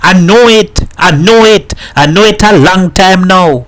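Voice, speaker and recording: A man voicing a gruff, teasing man in a story reading. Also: medium pitch, expressive, moderate pace, natural voice. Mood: excited.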